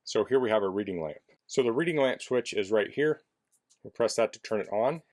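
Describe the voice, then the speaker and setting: Low-voiced man giving a casual product demo. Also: lively intonation, brisk, darkish tone. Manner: plainspoken, explanatory. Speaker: product reviewer. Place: indoors in a basement.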